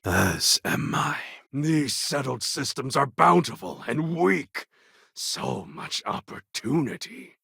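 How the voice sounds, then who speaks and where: Casting: proud, brooding game warlord, very husky, slow and deliberate, speaking like a solemn orator. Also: male voice, mid-range voice, pushed and bright, no room sound, studio quality, heavily acted. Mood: menacing. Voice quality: husky, gravelly. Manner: grave, deliberate, formal oratorical phrasing. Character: proud, brooding male warlord clone, fierce rebel leader. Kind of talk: video game character acting.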